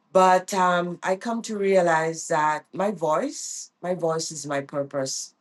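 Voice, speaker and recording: A middle-aged woman vlogging in an earnest, reflective way, often repeating her phrases for emphasis. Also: deep voice. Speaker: vlogger and life coach. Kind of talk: reflective personal vlog.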